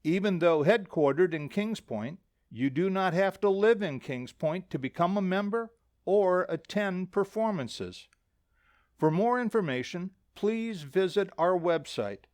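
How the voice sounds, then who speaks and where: A man serves as announcer for a radio theater, reading from a script. His voice is notably high for a man, and he takes his time. The sound is dry. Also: a little mellow, no room sound.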